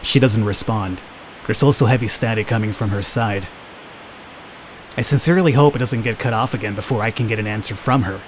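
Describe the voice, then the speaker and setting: A man hosting a gaming video, reading a horror visual novel aloud as expressive, dramatic first-person narration. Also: fairly low pitch, brisk, low-quality recording. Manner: expressive, acts out the heroine's thoughts. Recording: clear background noise, game ambience.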